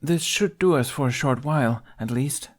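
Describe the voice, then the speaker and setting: Guy doing a whiny doubtful young army private, pitched up a bit, storybook dialogue. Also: moderate pace, lightly acted. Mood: grudging. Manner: incredulous, complaining. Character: skeptical, grumbling young male soldier, a private. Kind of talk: audiobook character dialogue.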